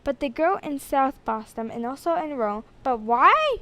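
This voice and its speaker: A woman's voice: high-pitched, very animated, measured pace, a bit bright, exaggerated voice. A woman voicing a wise, self-assured male school principal who declares and ponders, in a storybook reading. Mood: pondering.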